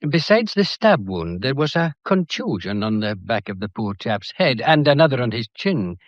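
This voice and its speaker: A man playing a Scottish detective inspector in an audio mystery, fatherly and polite as he probes for answers. His mid-pitched voice rises and falls freely. The recording is close and dry. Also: very animated, no echo, dull audio, natural voice. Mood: measured, probing. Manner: courteous, unhurried, gently probing questioning. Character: genial, fatherly Scottish police detective inspector, quietly probing. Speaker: actor. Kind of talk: audio mystery drama acting.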